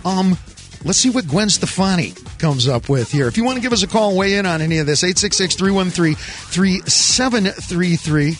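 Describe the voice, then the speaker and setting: A man's voice: high-pitched, animated, moderate pace, faint music behind. A man hosting a call-in radio show, joking and rambling while he reviews songs and tells his board operator what to play. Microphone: studio microphone.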